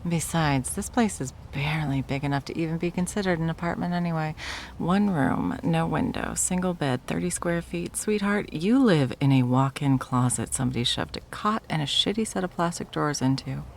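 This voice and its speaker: A woman's voice: sits low, moderate pace, vocal fry, slight background noise. A woman playing a wealthy, possessive corporate executive ex-girlfriend in an ASMR roleplay, condescending and sarcastic with a menacing edge. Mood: mocking, condescending. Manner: condescending, sarcastic, drawling sweet talk. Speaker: voice actor in audio roleplay. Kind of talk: ASMR roleplay, dramatic monologue.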